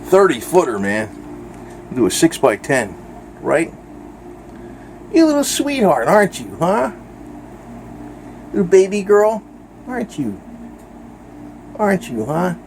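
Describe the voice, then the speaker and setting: A man's voice: high-pitched, huge pitch swings, takes it slowly, pauses often, slight background noise. An American man chatting casually off the cuff in a rambling, informal, folksy way. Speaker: vlogger.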